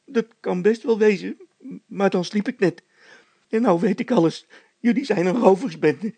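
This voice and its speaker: A man voicing a stubborn, hot-tempered housekeeper woman in a storybook, shrill and vehement, repeating herself. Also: moderate pace, dark tone, breathy edge, no echo. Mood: accusing.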